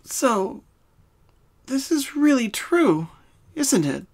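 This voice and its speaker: Woman doing a sad girl pony from a cartoon, high soft voice, kinda halting n solemn. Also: sing-song, full character voice. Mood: subdued, muttering. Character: sad young female pony confessing her hidden identity.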